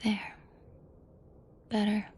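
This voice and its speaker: A woman playing a protective mafia boss in an intimate audio drama, soft and breathy with frequent whispering, commanding and dryly teasing. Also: very slow. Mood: tender, teasing. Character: protective female mafia boss, menacing to henchmen, tender to victim.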